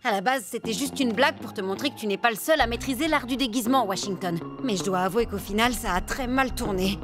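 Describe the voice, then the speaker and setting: A woman's voice: on the high side, fast, over music, natural voice. A woman voicing a sly but sensible female animal in a French cartoon dub, emphatic and knowing. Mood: urgent.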